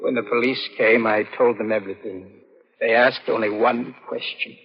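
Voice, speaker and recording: An actor playing a tormented, desperate young murderer in melodramatic 1940s radio suspense style, with a stammering, fervent delivery. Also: male voice, mid-range voice, fairly even intonation, normal speed, husky. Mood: haunted. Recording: muffled, noisy old radio broadcast.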